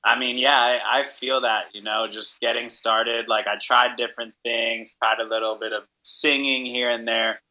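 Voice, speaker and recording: A man's voice: medium pitch, little pitch movement, moderate pace, hardly any pauses, pushed and bright, rough recording. A young adult man hosting a casual interview, agreeable and informal, sprinkling in "like" and "right?". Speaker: podcast host, interviewer.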